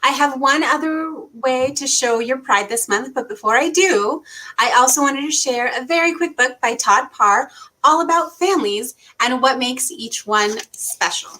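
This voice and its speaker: A woman's voice: high voice, normal speed, sharp tone, rough recording. A woman hosting an interactive read-aloud for young children, speaking in an encouraging way with simple phrasing. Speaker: children's librarian and story time host.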